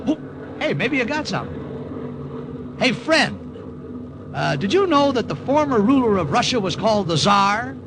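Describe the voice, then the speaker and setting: An American actor playing a wisecracking, excitable wartime airman who narrates his mission in an old radio drama. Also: high voice, a little slow, long pauses, background noise, heavily acted. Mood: joking. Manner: jokey, excitable. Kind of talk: 1940s-50s radio drama acting, part narration. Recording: old radio recording with loud music.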